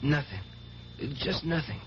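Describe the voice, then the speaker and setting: A man's voice: a little high, big pitch swings, unhurried, muffled recording, a little hiss or hum. An actor playing a devout, insecure young man, earnest and intense, in a 1970s radio drama on an old recording. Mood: wounded. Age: young adult.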